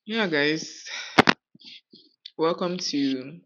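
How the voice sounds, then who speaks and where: A woman's voice: sits low, very slow, muffled recording, very noisy. A woman with a Nigerian accent hosting a podcast, talking casually and outspokenly with rhetorical questions. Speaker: podcast host. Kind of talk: casual conversational podcast talk.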